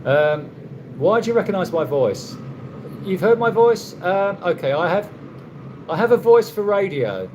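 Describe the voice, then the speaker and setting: A man casually answering viewers' questions on a live stream, rambling and halting with many restarts and a dry, wry humor. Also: high voice, big pitch swings, a little mellow, slight background noise. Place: condo room.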